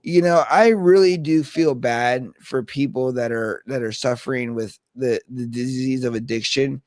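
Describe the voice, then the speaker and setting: A man's voice: mid-range voice, moderate pace, a little mellow, no room sound, studio quality. A man in his thirties chatting casually as host, telling stories animatedly with frequent laughs and swearing. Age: 30s. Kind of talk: casual chat.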